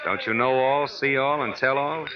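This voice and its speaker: An actor playing a wry, tough private detective in an old-time radio drama, with a clipped, sardonic delivery. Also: male voice, medium pitch, moderate pace, a crowd in the background, lightly acted. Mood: wry, teasing. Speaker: lead actor and first-person narrator. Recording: noisy, dull old radio recording.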